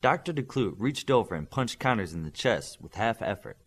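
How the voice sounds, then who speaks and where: A man's voice: fairly low pitch, very animated, moderate pace, fairly bright tone, husky. Man narrating a superhero audiobook. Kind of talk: scripted fiction narration.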